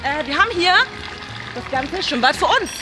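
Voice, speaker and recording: A woman's voice: sits high, expressive, moderate pace, over music. A young woman casually presenting a challenge to camera and bantering with a group in a large indoor pool hall. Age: young adult. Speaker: host and quizmaster of a challenge video.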